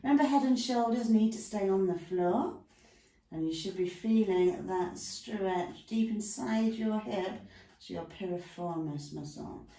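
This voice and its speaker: Mellow-voiced woman coaching stretches. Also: mid-range voice, unhurried. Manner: chatty, talks through the stretches with asides. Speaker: stretching class instructor. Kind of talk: guided exercise instruction. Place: room at home with a dog nearby. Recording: echoey room, mic far off.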